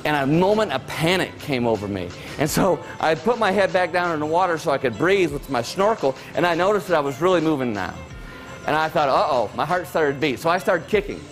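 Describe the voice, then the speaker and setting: A man's voice: fairly high pitch, a little fast, soft background music. Man telling a keynote story. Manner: conversational, animated storyteller. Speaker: keynote speaker.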